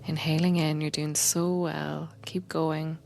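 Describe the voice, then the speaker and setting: A woman guiding a meditation in a gentle, unhurried, soothing way, often softening toward a breathy whisper. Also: low voice, little pitch movement, slight background noise, poor audio. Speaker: meditation guide and coach, podcast host. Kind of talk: guided meditation, soothing slow instruction.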